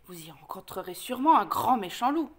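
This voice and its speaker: A woman storyteller reading a picture book to children expressively, with dramatic pauses and emphatic repetition. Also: medium pitch, big pitch swings.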